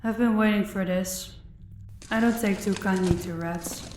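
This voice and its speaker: A woman's voice: mid-range voice, fairly even intonation, distant mic, noisy background, natural voice. Echoey, coolly sadistic female crime boss, acted by a woman. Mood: menacing, gloating. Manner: condescending, measured, polite menace. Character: sadistic female crime boss, menacing, calmly toying with captive. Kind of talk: audio roleplay acting. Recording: echoey room, away from the mic.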